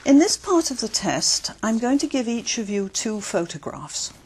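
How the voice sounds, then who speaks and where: Lively-voiced woman examiner. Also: a little high, a little hiss or hum. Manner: measured, clear prompting with brief acknowledgements. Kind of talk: scripted exam questions.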